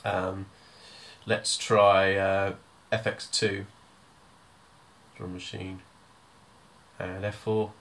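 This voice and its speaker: A man giving a hands-on music software tutorial, explaining step by step in an informal, hesitant way. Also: low voice, level tone, extremely slow, pauses often.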